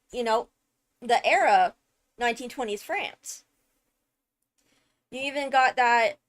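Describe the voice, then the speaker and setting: A woman streamer giving casual, emphatic analysis of a game video, halting as she thinks through points. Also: a little high. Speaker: streamer, host.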